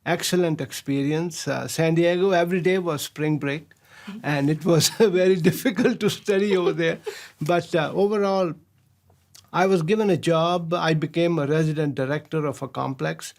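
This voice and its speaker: A man, a professor telling stories of his past in an interview, pausing often on fillers and chuckling as he goes. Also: on the high side, gravelly, a little mellow. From Pakistan. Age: 60s. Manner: chuckles often, halting with many filler sounds. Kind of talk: relaxed storytelling interview.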